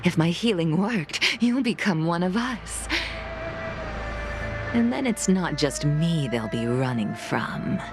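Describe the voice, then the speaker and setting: A woman's voice: lowish voice, expressive, takes it slowly, music in the background. A woman playing a sinister, disdainful cultist healer in a video game, menacing and unhinged. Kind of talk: video game villain acting.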